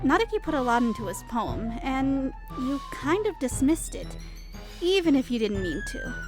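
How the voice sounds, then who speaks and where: A woman's voice: sits high, very animated, moderate pace, rough recording. A woman playing an anxious, earnest young female student who narrates a visual novel audio drama in a dramatic, hesitant way. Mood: earnest, firm. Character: anxious, exasperated young female student, new club member. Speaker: voice actor narrating and playing the lead. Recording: music behind the voice.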